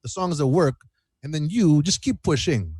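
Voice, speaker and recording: Casual, animated man with a close, dry sound. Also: a little high, normal speed, breathy edge, no room sound. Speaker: singer-songwriter guest. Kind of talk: casual radio interview chat.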